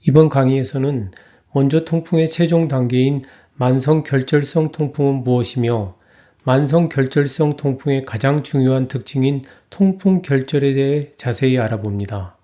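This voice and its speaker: A man reading a scripted health lecture in an even, measured way. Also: medium pitch, muffled recording.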